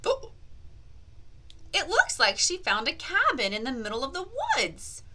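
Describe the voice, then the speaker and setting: Lady doing a kids lesson, high lively voice, slow pace, asks questions and cheers them on, really playful. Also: noisy background. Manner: exaggerated, playful, encouraging child-directed delivery with drawn-out key words. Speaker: children's teacher and host of a read-aloud language activity. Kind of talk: interactive lesson for young children, asking questions and praising answers.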